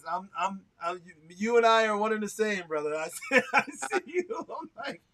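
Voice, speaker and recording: A man's voice: sits high, very animated, normal speed, bright, forceful tone. A man hosting a casual livestream interview, chatty and laughing often, with lots of filler words. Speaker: show host.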